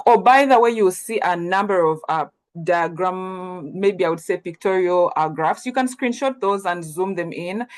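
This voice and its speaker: Woman, young adult, slightly low voice, earnest webinar presenter. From Uganda. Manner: earnest, many fillers and self-corrections.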